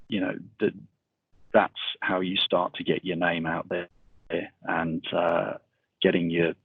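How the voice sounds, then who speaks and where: A middle-aged man giving a casual webinar talk, speaking in a monotonous, rambling way with many fillers over a video call. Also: sits low, slightly rough, no room sound. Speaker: webinar speaker on military transition. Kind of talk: casual presentation. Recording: tinny video call audio.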